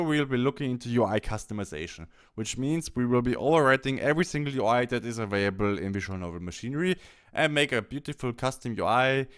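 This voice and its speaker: A man presenting a software tutorial, talking through each step in an instructional way. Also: mid-range voice, clear, resonant tone. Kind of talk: instructional software walkthrough narration.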